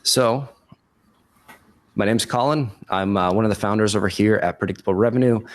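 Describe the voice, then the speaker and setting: A man hosting a webinar podcast in a casual, chummy way, his voice raspy from a cold. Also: on the low side, normal speed. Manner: informal, chummy address. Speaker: podcast host.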